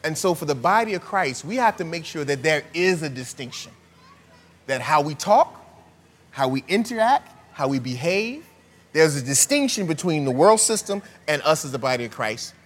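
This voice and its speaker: A man's voice: on the high side, lively intonation, moderate pace, big-room sound. A man preaching a sermon, conversational and full of rhetorical questions to the congregation.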